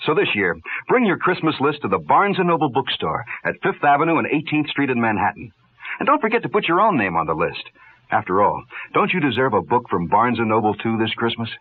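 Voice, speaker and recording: Animated man announcer on muffled radio. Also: medium pitch, a little fast, slightly rough. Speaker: commercial announcer. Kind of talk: scripted 1970s radio advertisement. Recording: muffled narrow-band vintage radio.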